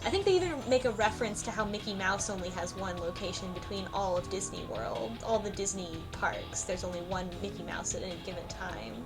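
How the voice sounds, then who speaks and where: A woman's voice: medium pitch, a little fast, hardly any pauses. A woman explaining things informally in a casual group discussion. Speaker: book club podcast panelist. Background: music behind the voice.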